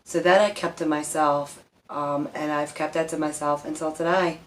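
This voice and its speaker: A woman's voice: sits low, fairly even intonation, moderate pace, rough recording. A woman shares personal stories in a casual vlog style. She is candid and emphatic, rambles as she goes and swears freely. There is some noise behind her voice. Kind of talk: casual personal storytelling to camera.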